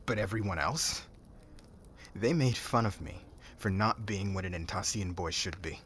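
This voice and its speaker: A man's voice: sits low, normal speed, a bit bright, a little hiss or hum. A man playing a cocky, defensive young warrior who talks in casual slang and stammers a little in an audio roleplay. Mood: bitter. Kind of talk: ASMR audio roleplay drama.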